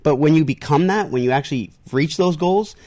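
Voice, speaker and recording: A man's voice: on the high side, a little fast, a little mellow. A man co-hosting a radio talk show, talking earnestly, repeating words as he thinks, in a radio studio. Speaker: co-host.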